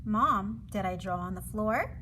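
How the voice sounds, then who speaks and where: A woman's voice: mid-range voice, lively intonation, measured pace, bright, forceful tone, slight background noise, voice pushed down. A woman voicing an eager, insistent little girl who pleads and wheedles in a children's storybook. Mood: pleading, excited.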